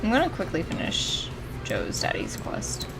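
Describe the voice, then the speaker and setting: A woman's voice: fairly low pitch, wildly swooping pitch, normal speed, gravelly. Casual, sweary woman streaming over music. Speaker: game streamer. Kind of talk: casual chat while streaming a video game. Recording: music in the background.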